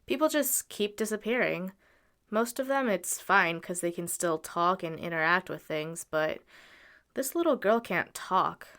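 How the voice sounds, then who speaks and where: A woman's voice: lowish voice, lively intonation, unhurried, a bit bright. A woman playing a practical, wary travelling musician with dry wit in an audio drama. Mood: matter-of-fact.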